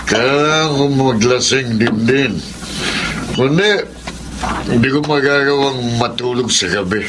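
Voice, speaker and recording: A man's voice: mid-range voice, unhurried, noisy background, natural voice. A man voicing a grieving young widower and seaman in a radio drama, anguished and pleading. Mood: bitter despair. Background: background music.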